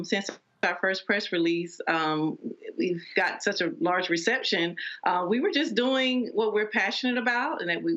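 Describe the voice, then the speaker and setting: A woman being interviewed as an animation entrepreneur, answering conversationally with hesitant pauses. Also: mid-range voice, a bit bright, highs cut off.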